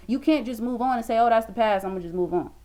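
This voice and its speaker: A woman's voice: a little high, fast talker, warm tone. Emphatic young woman preaching to webcam. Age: 20s. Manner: emphatic, preachy, repeats for stress. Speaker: motivational vlogger. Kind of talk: motivational talk to camera. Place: small room indoors.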